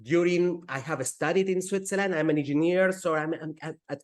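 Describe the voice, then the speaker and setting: A man in his forties with a Spanish accent talks through his story in a halting, conversational way, often restarting a phrase before going on. His voice sits a little higher than most men's. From Peru. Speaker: guest entrepreneur. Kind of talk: conversational interview.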